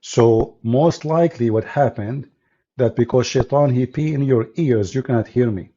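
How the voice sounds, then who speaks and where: A man's voice: medium pitch, normal speed, dark tone, highs cut off. A man hosting a combative call-in debate, speaking blunt, mocking non-native English. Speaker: host of call-in debate show.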